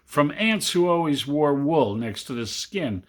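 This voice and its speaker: A man reading a literary Christmas story aloud to family, with expressive, deliberate emphasis. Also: on the high side. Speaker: amateur storybook reader.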